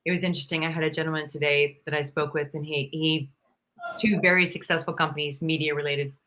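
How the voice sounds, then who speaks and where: A woman appearing as a guest charisma coach on a live stream, telling stories in a lively way, acting out what people said to her and laughing often. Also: sits low, fast talker. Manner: acts out quoted people's lines.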